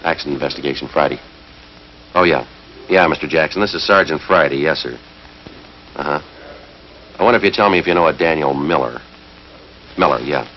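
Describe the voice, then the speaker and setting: A man's voice: a bass voice, a little fast, pauses often, a little hiss or hum. An American actor in his thirties playing a terse, methodical, fair-minded police sergeant in a 1950s TV drama, speaking in a clipped, matter-of-fact way. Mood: polite, businesslike. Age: 30s. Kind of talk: 1950s TV police drama acting and scripted narration. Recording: muffled 1950s TV soundtrack, music underneath.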